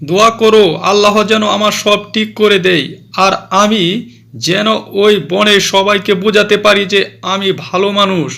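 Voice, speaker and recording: A man's voice: high voice, creaky, sharp tone, heavily acted. A man voicing a downcast fox who is mocked for his cut-off tail. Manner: plaintive, self-pitying.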